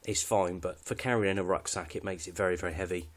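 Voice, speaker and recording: A man's voice: deep voice, fairly even intonation, fast. A British man giving a casual, unscripted walkthrough of his kit in a matter-of-fact, slightly hesitant way. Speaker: hobbyist presenter showing radio kit.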